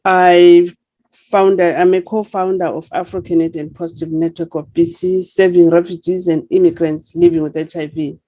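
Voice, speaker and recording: A woman's voice: lowish voice, level tone, normal speed, dark tone, dry recording. A woman gives a conference presentation as a community advocate over dull, muffled online-call audio.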